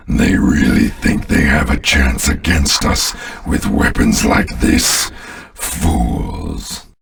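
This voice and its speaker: Monstrously deep, gravelly alien overlord. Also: male voice, fairly bright tone, distant mic, full character voice. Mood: scornful. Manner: slow, imperious, menacing. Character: sinister alien overlord commander, arrogant, scornful of humans. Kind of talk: video game villain voice lines.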